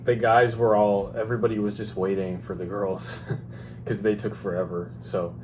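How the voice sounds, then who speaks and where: A young man co-hosts a casual storytime vlog in a low voice. He talks haltingly and restarts often, with faint noise in the background. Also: little pitch movement. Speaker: vlog co-host. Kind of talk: casual storytime chat with a partner.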